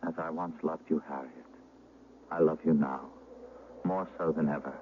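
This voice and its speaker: Brooding lovelorn gentleman adventurer, very low voice, formal melodramatic delivery, muffled old radio drama. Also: fairly even intonation, normal speed, slightly rough. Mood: bitter. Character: brooding, lovelorn gentleman soldier of fortune, ardent, persistent. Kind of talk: 1970s radio drama acting. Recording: muffled vintage radio recording, faint hiss.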